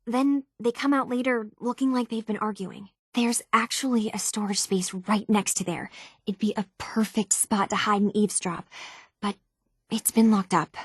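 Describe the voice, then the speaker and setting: Game role: young female synth tinkerer, voiced by an actress, earnest and halting, raspy-soft texture, very dry studio sound. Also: mid-range voice, fairly even intonation, no room sound, lightly acted. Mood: conspiratorial, worried. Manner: earnest, hesitant, conversational. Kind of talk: video game character dialogue.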